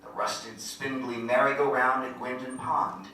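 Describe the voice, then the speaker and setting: A man's voice: mid-range voice, steady tone, measured pace, bright, forceful tone. A man playing a folksy country narrator with a rural American accent, telling a vivid story in a staged reading. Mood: wry, wondering. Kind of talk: dramatic monologue in staged reading. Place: indoor venue, staged reading. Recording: very echoey room from far off, noisy.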